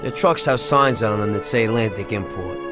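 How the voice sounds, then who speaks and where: A man playing an excitable, scheming American gangster. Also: fairly low pitch, normal speed, over music, close to an everyday voice. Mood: businesslike. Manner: streetwise, slangy, informal. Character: excitable, scheming small-time gangster looking for a big score. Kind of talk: video game cutscene acting.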